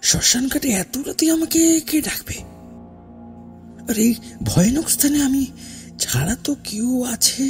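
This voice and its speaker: A man narrating a first-person horror story in a theatrical, suspenseful way, with dramatic pauses and hushed phrase endings. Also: high-pitched. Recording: voice over background music. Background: music.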